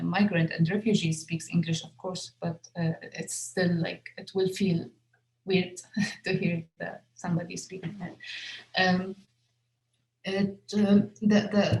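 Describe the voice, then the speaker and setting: A woman's voice: lowish voice, darkish tone, echoey room, low-quality recording. A young woman, a film director whose first language is Arabic, answering questions at a festival Q&A with many fillers and restarts. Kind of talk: festival Q&A conversation.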